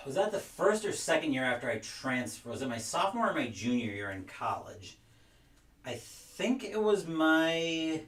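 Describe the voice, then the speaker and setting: A man's voice: medium pitch, a bit bright. A young man talks casually through an unboxing, reading names aloud and drifting into side stories. His voice sits in a middle range and sounds a little distant from the mic, in a room with noticeable echo. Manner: rambling, reads names aloud while sorting. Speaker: collector unboxing wrestling game cards.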